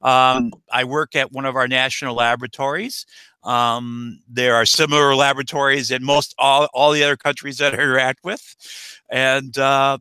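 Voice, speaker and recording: A middle-aged American man, a guest expert answering interview questions in a halting way, full of uh and um fillers. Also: medium pitch, little pitch movement, sharp tone, smooth, dry recording, poor audio. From United States. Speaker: guest expert, nuclear engineer.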